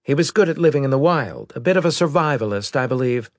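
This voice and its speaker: A man voicing a brisk, formal female military intelligence officer giving precise reports in an audiobook. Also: medium pitch, expressive, dry recording, studio quality, voice pushed up, natural voice.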